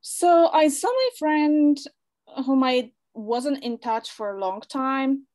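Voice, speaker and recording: A young woman from Poland speaks English, her voice sitting a little high. She talks chattily as a guest on a video call, laughing easily and stumbling over her words before restarting. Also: lively intonation, slow, darkish tone. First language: Polish. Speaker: guest, online English school owner. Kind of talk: interview testimonial.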